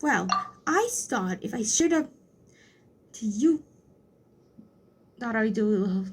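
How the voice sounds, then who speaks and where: A woman's voice: fairly high pitch, animated, unhurried, husky. A woman streaming a game playthrough with casual, rambling, somewhat theatrical commentary. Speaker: gameplay streamer. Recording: loud game music behind.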